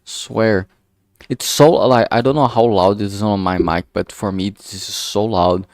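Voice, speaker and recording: A man with a fairly low, very creaky voice talks casually through an art stream. He rambles informally and pokes fun at himself, in a close, dry recording. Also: steady tone, a little slow, darkish tone, no room sound. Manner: informal, sweary, self-deprecating. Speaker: streamer making a visual novel.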